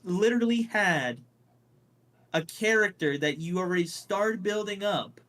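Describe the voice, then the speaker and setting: Higher-pitched man chatting casually, opinionated. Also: a little slow, a bit bright. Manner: opinionated, swears freely. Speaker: podcast co-host. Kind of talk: casual pop culture chat.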